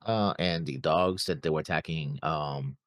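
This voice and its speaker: A man co-hosting a reaction show, casually talking through his notes in a halting way as he searches for words. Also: a bass voice, no room sound, clean studio sound.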